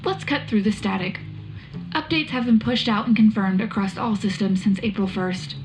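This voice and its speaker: A woman acting as a fleet tech officer delivers a clipped wartime radio bulletin. The delivery is brisk and official at a medium pitch, with faint music underneath. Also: close to an everyday voice, poor audio. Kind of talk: scripted sci-fi military news report.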